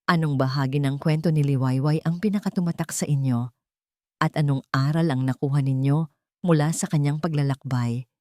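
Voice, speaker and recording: Female Filipino storyteller, low-pitched, earnest and sentimental, reading dramatic narration in a very dry close recording. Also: darkish tone, dry recording. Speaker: story narrator and channel host.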